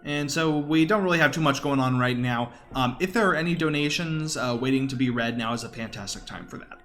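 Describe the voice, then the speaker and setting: A man's voice: medium pitch, a little fast, fairly bright tone, soft background music. A man giving casual live commentary as a speedrunner, explaining informally with wry asides.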